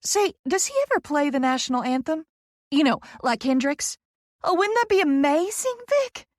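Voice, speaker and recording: Woman putting on a bubbly high-pitched Southern belle publicist. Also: normal speed, no echo, studio quality, close to an everyday voice. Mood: squealing excitement. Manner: effusive, excitable, loud, gushing. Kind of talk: audiobook character voice.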